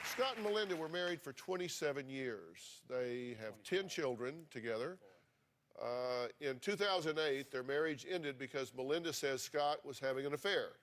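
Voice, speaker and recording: A man's voice: mid-range voice, expressive, moderate pace, a bit bright, a faint crowd. Dry-humored man hosting a talk show. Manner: dry joking, steering a heated family dispute.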